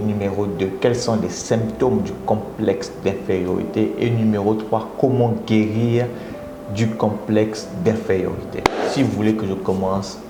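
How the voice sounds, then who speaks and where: Gravelly Congolese man hosting a live talk. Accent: Congolese French. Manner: animated, coaxing audience, rising into high exclamations. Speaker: motivational speaker and live host. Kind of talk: live-stream motivational talk. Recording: echoey room with audible background noise.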